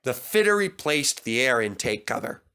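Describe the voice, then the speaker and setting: Bright, animated male storyteller. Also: medium pitch, moderate pace, smooth. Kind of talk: animated children's story narration.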